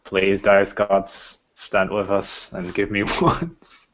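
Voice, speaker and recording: Slow, low-voiced man running dice rolls. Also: little pitch movement, fairly bright tone, lo-fi sound. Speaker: tabletop roleplaying game master or player rolling combat. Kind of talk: casual game talk, counting dice and explaining rules.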